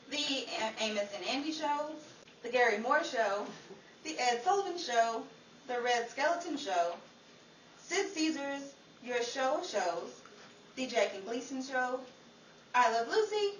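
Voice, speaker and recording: A woman's voice: fairly high pitch, fairly bright tone. Theatrical woman narrator in a roomy space. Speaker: narrator, host. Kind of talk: scripted nostalgic old-time radio narration. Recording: roomy, distant live recording with audience, noise.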